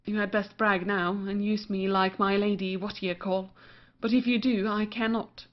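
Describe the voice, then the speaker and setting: A woman's voice: medium pitch, little pitch movement, normal speed, close to an everyday voice. A woman playing a brazen, cunning mistress in an old stage comedy, saucy and theatrical. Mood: coaxing. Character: brazen, cunning young mistress called Wanton. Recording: muffled lo-fi audio.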